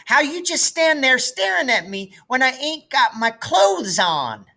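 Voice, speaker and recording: A whiny little sister from a storybook, acted out by a man, pitched well up from his own voice. She is prissy and complaining, with a bright, pointed edge. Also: sing-song, measured pace, vocal fry, full character voice. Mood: indignant.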